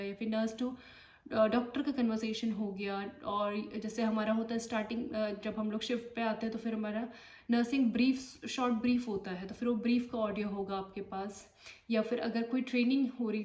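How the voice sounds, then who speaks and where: A woman's voice: a little high, darkish tone, distant mic. A woman from India, a nurse, giving a casual spoken tutorial and often checking in with her listeners as she explains. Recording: echoey room, voice off-mic, faint noise.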